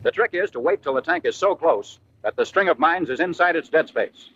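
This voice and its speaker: A man's voice: fairly high pitch, lively intonation, a little fast, fairly bright tone, husky, highs cut off. An American man narrating a 1940s military training film from a script, in a punchy, colloquial style full of wartime slang, on a vintage film soundtrack.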